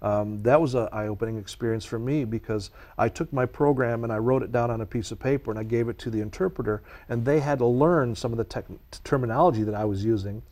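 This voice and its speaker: Czech-native male guest, mid-pitched, eager, passionate talker on nature. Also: darkish tone. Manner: earnest and passionate, speaks at length. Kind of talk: conversational interview answers.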